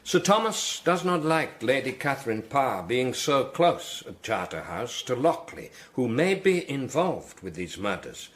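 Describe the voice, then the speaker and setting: Solemn lord archbishop, authoritative and formal, lively pitch swings, medium voice. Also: male voice, unhurried, few pauses. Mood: grave, commanding. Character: grave, devout, commanding lord archbishop. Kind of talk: radio drama acting.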